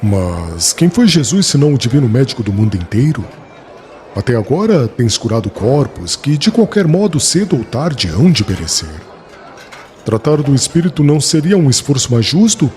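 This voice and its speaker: Man acting as fervent, animated apostle preacher. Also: mid-range voice, normal speed, a little hiss or hum, lightly acted. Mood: persuasive. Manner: earnest, emphatic, oratorical. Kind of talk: dramatized audiobook acting.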